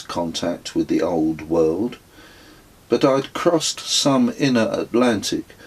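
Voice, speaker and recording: A middle-aged man reading his own reflective travel memoir as an audiobook, in a measured, literary and wry manner. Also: deep voice, a little mellow, husky.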